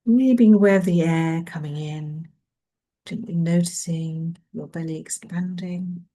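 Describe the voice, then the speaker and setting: A woman leading a guided mindfulness meditation in a gentle, soothing manner, leaving long pauses between phrases. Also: lowish voice, fairly even intonation.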